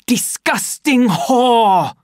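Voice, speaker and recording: A woman voicing a wild young man who shouts in anger. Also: a little high, lively intonation, slow pace, no echo, studio quality, pitched above the performer's own voice. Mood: furious.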